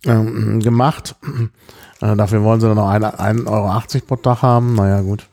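Ein Mann, der in einem Podcast locker und mit vielen Füllwörtern von einer Reise erzählt. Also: male voice, lowish voice, little pitch movement, normal speed, a little mellow. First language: German. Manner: chatty storyteller, many fillers. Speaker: podcast host. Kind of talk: casual chat.

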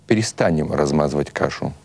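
A man voicing a one-eyed gang boss who talks in a measured, blunt way. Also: extremely low pitch, gravelly, darkish tone, pitched below the performer's own voice, full character voice. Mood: stern. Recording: music behind the voice.

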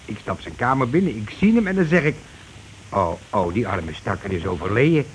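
An actor playing a coarse, cocksure scheming husband in a radio play, talking insistently and impatiently in colloquial speech. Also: male voice, fairly low pitch, sing-song, fairly quick. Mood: scheming, bossy. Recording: noisy old radio drama recording.

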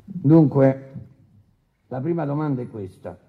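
A man's voice: mid-range voice, lively intonation, measured pace, phone-quality sound, lightly acted. An actor playing a brusque male presiding judge in a radio courtroom drama, formally and insistently questioning a defendant. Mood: insistent, sardonic. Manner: insistent, interrupting, official.

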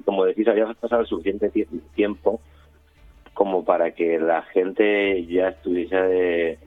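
A male radio guest with an accent from Spain talks in a deep voice over a narrow, dry phone-like connection. He is informal and hesitant, often doubling back on words. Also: fairly even intonation, measured pace. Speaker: community radio member, interviewee. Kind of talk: casual interview talk. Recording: roomy, phone-like remote line.

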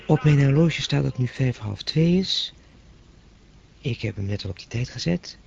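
A man's voice: mid-range voice, expressive, moderate pace, gravelly, a little mellow, highs cut off, soft background music. A man playing a bewildered, uneasy ordinary man in a radio play, asking hesitant, sceptical questions. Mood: puzzled, sceptical. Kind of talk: naturalistic radio drama dialogue.

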